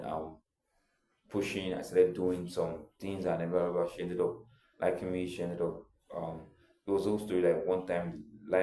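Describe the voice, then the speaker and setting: A man's voice: low voice, warm tone, reverberant. A young adult man, telling a personal story in a casual, rambling way full of filler words. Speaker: vlogger.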